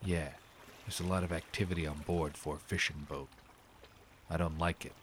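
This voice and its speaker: An actor playing a gruff, protective amateur detective in a 1930s noir audio drama, speaking in terse, clipped lines. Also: male voice, low voice, level tone, moderate pace, slight background noise, natural voice. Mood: guarded, serious. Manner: terse, clipped, hard-boiled.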